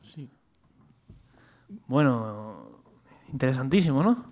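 A man's voice: mid-range voice, lively intonation, slow, darkish tone. A man presenting a radio show, casually retelling things in a conversational storytelling way and voicing quotes as he goes.

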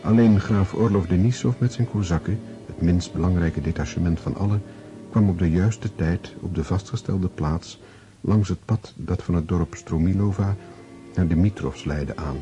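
A man's voice: low voice, normal speed, music in the background. A man reading scripted literary narration as the narrator of a radio drama. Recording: dull lo-fi old radio recording.

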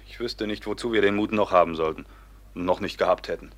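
A man's voice: low-pitched, quick pace, close to an everyday voice. An actor playing a proud, brooding young nobleman who longs for home, earnest and lofty in a classical radio play. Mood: scornful.

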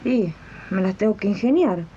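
A woman's voice: mid-range voice, expressive, moderate pace, dark tone, highs cut off, slight background noise, close to an everyday voice. A woman acting an indignant, determined housemaid in a radio drama, with an Argentine accent and a colloquial, questioning way of talking, on a late-1980s recording. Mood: indignant, resolved. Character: indignant, determined housemaid who makes jam on the side. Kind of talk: radio drama dialogue and narration.

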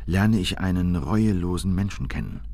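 An actor playing a grave official who recounts a manhunt in measured, formal radio drama narration. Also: male voice, low voice, expressive, gravelly, mellow, exaggerated voice. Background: music.

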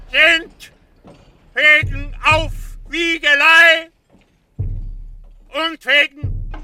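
Roman governor in a radio play, shouted slow proclamation, very high strained voice, stern. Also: male voice, heavily acted. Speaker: Roman procurator. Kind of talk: radio drama acting.

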